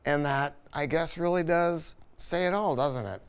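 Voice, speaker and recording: A man's voice: a little high, measured pace, smooth. A man giving an unscripted product review, telling stories in an emphatic, colloquial way with frequent rhetorical check-ins. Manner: emphatic, colloquial, storytelling with rhetorical tag questions. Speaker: product reviewer and audio dealer. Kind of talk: unscripted sales-style product review talk.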